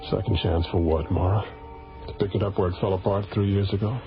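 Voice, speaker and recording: An actor playing a reserved, weary scientist in an old science fiction radio drama, talking quietly. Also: male voice, low-pitched, fairly even intonation, a little fast. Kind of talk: 1980s radio drama acting. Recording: dull old radio recording with music.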